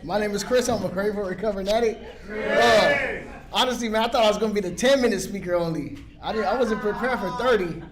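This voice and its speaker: A man's voice: high voice, fast, a loud crowd. A young man from San Pedro, California, telling his recovery story at a meeting in a casual, rambling way with many restarts.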